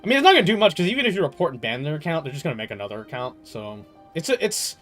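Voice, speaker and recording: Higher-voiced young man, casual streamer. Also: sing-song, very fast, a bit bright, faint music behind. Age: young adult. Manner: rambling, emphatic, profane. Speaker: game streamer. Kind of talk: casual live stream chat.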